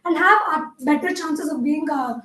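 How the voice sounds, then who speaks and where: A woman, the club secretary, reading the minutes of the last meeting in a formal, measured way. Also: high-pitched, pushed and bright. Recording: echoey hall, distant mic.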